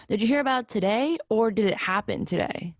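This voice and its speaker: An actress playing a tough, masculine-styled twenty-five-year-old in a play reading, blunt, clipped and questioning. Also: female voice, medium pitch, lively intonation, fairly quick, lightly acted. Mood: skeptical, probing. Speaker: actor. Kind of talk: remote play reading, naturalistic casual dialogue.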